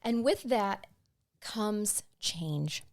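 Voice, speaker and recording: A woman's voice: medium pitch, expressive, very slow. A woman hosting a podcast, talking in a bubbly, chatty way that moves between ad reads and banter with her co-host. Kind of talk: casual chat.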